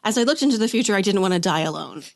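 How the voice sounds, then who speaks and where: A woman guest on a podcast, telling personal stories in a chatty way with quick asides, her throat a little rough after an illness. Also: medium pitch, expressive, dry recording, clean studio sound. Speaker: guest, author. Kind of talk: casual podcast conversation.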